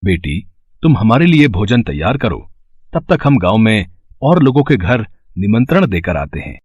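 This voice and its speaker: A man voicing a pious, fatherly wandering Brahmin in a moral-story cartoon, speaking formally and with measured solemnity. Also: fairly low pitch, very animated, breathy edge, no echo, muffled recording. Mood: pious, kindly.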